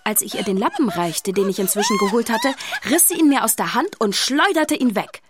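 A woman's voice: on the high side, huge pitch swings, fairly quick, background noise. A woman playing a storyteller who witnessed a haunting, narrating a children's radio play with suspense. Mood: suspenseful. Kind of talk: scripted dramatic narration for children. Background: music.

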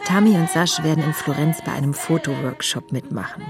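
A woman's voice: low-pitched, brisk. A woman playing a nineteen-year-old from a wealthy family, narrating a radio drama with a reflective, wry manner. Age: young adult. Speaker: narrator and main character. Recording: music underneath.

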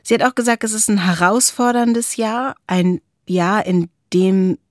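A woman's voice: mid-range voice, measured pace, dry recording, studio quality. A woman co-hosting a conversational discussion, reflective and summarising, with tentative endings to her thoughts. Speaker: podcast co-host.

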